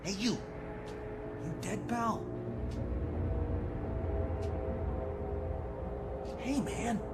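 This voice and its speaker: An actor playing a scrappy, friendly American resistance prisoner in a video game, urgent, chummy and streetwise. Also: male voice, sits high, lively intonation, takes it slowly, vocal fry, loud music behind the voice, heavily acted. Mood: chummy, hushed. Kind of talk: video game character acting.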